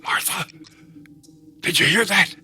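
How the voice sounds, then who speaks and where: A man playing a frightened, wounded husband in a suspense audio drama, halting and urgent but gruffly determined to fight. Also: high voice, pushed and bright, faint music behind, heavily acted. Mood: tense, wary.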